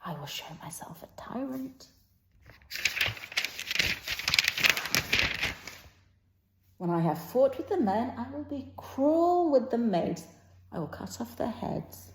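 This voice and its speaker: A woman playing a boastful, quarrelsome male servant in an old stage play, in a halting declaimed delivery that moves between muttering and projecting. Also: on the high side, sing-song, dark tone, voice pushed up. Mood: boastful, cruel. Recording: roomy, echoing sound.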